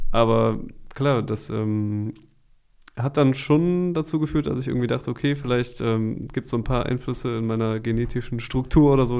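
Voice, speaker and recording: A man's voice: medium pitch, gravelly, mellow. A young man chatting casually on a podcast in a laid-back, joking way with plenty of youth slang. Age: young adult. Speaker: podcast host.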